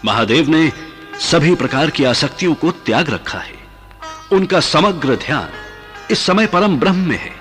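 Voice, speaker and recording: A man's voice: a little high, big pitch swings, unhurried, fairly bright tone, soft background music. An actor playing a reverent, anxious mountain king and father in a Hindi mythological radio play, formal and deferential. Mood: worried, doubting.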